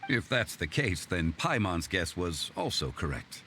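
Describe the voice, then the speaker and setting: A man playing a composed, erudite gentlemanly scholar in a video game, formal, measured and courteous. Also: low-pitched, big pitch swings, slight background noise, full character voice. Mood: courteous, calm. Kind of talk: English video game voice acting.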